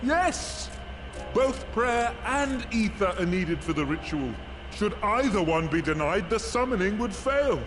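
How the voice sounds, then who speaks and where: An actor playing an excitable scholarly male adviser in a fantasy game. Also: sits high, measured pace, noisy background. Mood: eager. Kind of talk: dramatic fantasy game dialogue.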